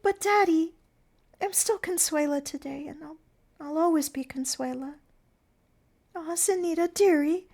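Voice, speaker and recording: A sweet young circus starlet, played by a woman, with a very high, girlish voice. She is nervous and pleading, showering everyone with childlike endearments in the manner of a stage drama. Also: a little slow, pauses often, darkish tone. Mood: girlish, affectionate. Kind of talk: theatrical play reading.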